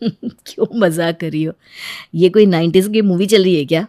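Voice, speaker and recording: A woman's voice: fairly low pitch, normal speed, dark tone, dry recording, clean studio sound, close to an everyday voice. A woman voicing a blunt, firm adult son and husband in a family story. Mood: dismissive. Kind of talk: Hindi moral story voice acting.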